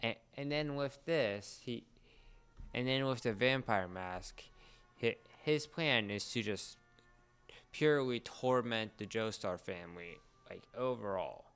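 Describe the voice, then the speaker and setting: A man with a clean, smooth voice at a middling pitch talks casually as a co-host. He explains things step by step, often restarting and repeating a phrase as he goes. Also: unhurried, fairly bright tone, faint music behind. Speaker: podcast co-host explaining a series.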